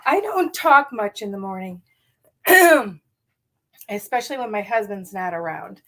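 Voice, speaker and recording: A middle-aged woman with a slightly raspy morning voice leads a casual live card-making tutorial, chatty and enthusiastic with many ums. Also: medium pitch, animated, a little slow, fairly bright tone.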